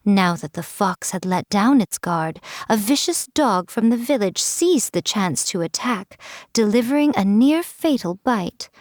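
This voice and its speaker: A woman's voice: on the high side, no room sound, studio quality. A woman voicing a sly, teasing fox-spirit shrine priestess in a video game, speaking in a languid, amused way. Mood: storytelling. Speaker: game character voice.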